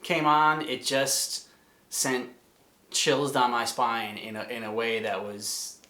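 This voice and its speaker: Man, mid-pitched voice, casual reaction commentary, conversational host, roomy echo. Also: little pitch movement, takes it slowly, sharp tone. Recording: echoey room.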